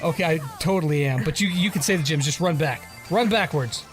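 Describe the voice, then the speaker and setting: A man with a notably high voice, hosting in a casual, informal way. He is encouraging and conversational, with faint music behind him. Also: fairly quick. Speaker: host, interviewer. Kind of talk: casual chat and interviewing.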